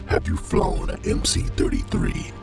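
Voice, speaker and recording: An actor playing a stern, suspicious cyborg guard who talks in curt, interrogating phrases in an audio drama. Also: male voice, a little slow, lightly acted. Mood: stern, demanding. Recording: music behind the voice.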